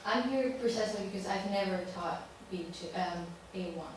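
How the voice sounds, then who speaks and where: A woman of average pitch gives an informal introduction as a teacher at a workshop. Her voice is distant, in a very reverberant room with clear noise. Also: a little fast. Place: classroom. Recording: distant mic, very echoey, noisy.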